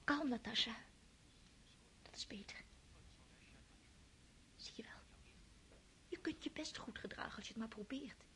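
A woman's voice: fairly high pitch, measured pace, pauses often. An actress playing an impulsive, lovesick young girl in a radio play, often whispering her thoughts to herself. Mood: whispering, wistful. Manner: hushed whispered inner monologue. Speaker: countess's daughter.

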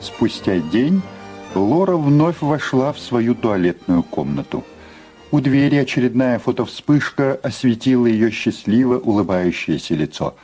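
Mellow male narrator of an audio drama. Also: medium pitch, sing-song, a little slow, soft background music. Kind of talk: dramatic scripted story narration.

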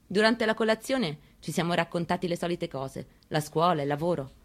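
A woman's voice: lowish voice, expressive, a little fast, lightly acted. A woman performing a dramatic monologue reading as a haunted, plainspoken mother recounting her story. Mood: plain recollection. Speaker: actress in a staged reading.

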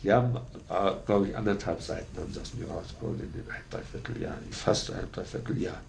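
A very gravelly, fairly low older male voice over faint noise, as on an archive tape. He speaks in halting fragments, recollecting for an interviewer. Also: darkish tone. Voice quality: gravelly, creaky. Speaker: former prisoner interviewed.

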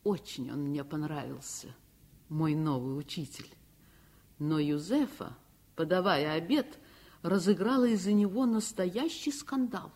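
An actress playing a grown daughter narrating her childhood in a radio play, sincere, measured and heartfelt. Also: low voice, a little mellow. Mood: reminiscent. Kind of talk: dramatic first-person radio narration. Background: music.

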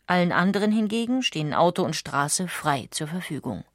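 A woman reading scripted documentary narration with measured, factual delivery. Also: lowish voice, animated, no echo, studio quality.

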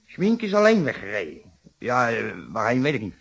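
An actor playing a cagey, grumbling, brusque working-class husband in a radio detective play, in colloquial, defensive Netherlands Dutch. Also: male voice, medium pitch, very animated, moderate pace, gravelly, slightly rough, full character voice.

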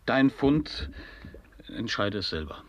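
A man's voice: mid-range voice, sing-song, slow pace, a little hiss or hum. An actor playing an uneasy, evasive, grumbling man in a radio play, with dry, terse, irritable delivery. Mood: grudging, dry. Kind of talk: absurdist German radio play acting.

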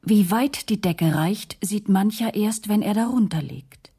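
Casting: detached slogan-reciting narrator, radio play; dark, breathy voice, declamatory. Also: female voice, medium pitch, measured pace. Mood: cool, detached. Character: cool female narrator reciting maxims and slogans. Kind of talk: scripted radio drama narration.

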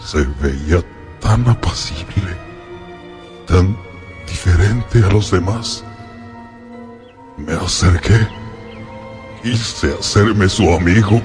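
A slow, deep-voiced anguished monster. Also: male voice, music in the background. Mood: brooding. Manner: slow, brooding, emotionally volatile delivery. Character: anguished, vengeful male monster telling his creator his story. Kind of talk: dramatic radio play acting.

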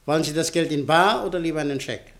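An actor playing a cold, domineering director in a German radio play, curt and commanding. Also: male voice, fairly high pitch, fast talker, close to an everyday voice. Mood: cold, brisk. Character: domineering, cold male director, powerful businessman, scheming.

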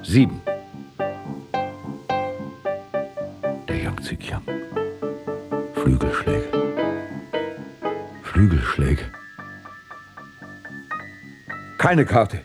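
Gravelly, courteous prosecutor. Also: male voice, a bass voice, wildly swooping pitch, slow, full character voice. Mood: dazed. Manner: formal, polite. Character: courteous, driven male state prosecutor. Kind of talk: radio play acting. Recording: loud music behind the voice.

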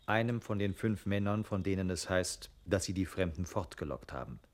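A man performs a reflective radio play narrator with a low voice. He muses aloud and turns his telling into questions, as if thinking it through. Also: normal speed, lightly acted. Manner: musing, rhetorical questioning.

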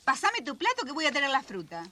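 A woman's voice: high voice, huge pitch swings, quick pace, background noise. An actress playing a doting, fussy, homely mother of grown children in a radio drama, with a Rioplatense Argentine accent and a fond, fussing manner.

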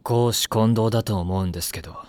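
A man's voice: on the low side, a little fast, a little mellow, dry recording, lightly acted. An actor playing an aloof, sarcastic teenage boy in an anime audio drama, with a dry, snide manner. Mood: dry, unimpressed. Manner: snide, dry, polite on the surface.

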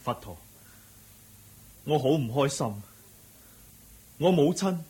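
A man's voice: fairly high pitch, expressive, slow pace, a little mellow, slightly rough, full character voice. A man playing a troubled younger family man in a radio drama, plaintive and earnest, with a Hong Kong Cantonese accent. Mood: anguished. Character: A-Wang, anguished younger family man seeking monkhood.

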